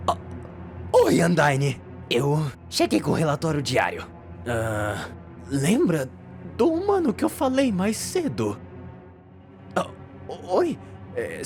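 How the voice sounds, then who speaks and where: A performer voicing a boastful but nervous skeleton who dreams of royal guard duty, stammering and halting dramatically in a story. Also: medium pitch, wildly swooping pitch, faint music behind, full character voice.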